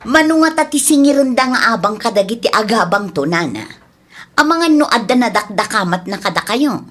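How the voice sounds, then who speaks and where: A woman's voice: fairly high pitch, expressive, a little fast, a bit bright. An actress with a Filipino accent playing a stubborn, scheming female landowner in an Ilocano radio drama. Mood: probing, coaxing. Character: scheming money-minded female cousin and landowner, stubborn daughter.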